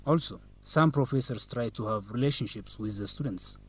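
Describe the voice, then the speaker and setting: A confident, teasing young man, played by an actor, a law student in an educational radio drama. His voice is medium in pitch, and he speaks in a courteous, measured way. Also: slightly rough, close to an everyday voice. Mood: earnest. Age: young adult.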